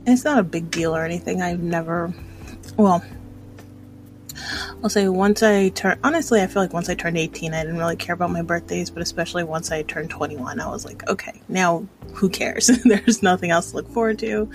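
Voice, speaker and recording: A woman's voice: lowish voice, moderate pace, faint music behind. A woman in her thirties chatting casually in a personal vlog, rambling and hesitant as she reflects on herself. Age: 30s. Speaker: vlogger.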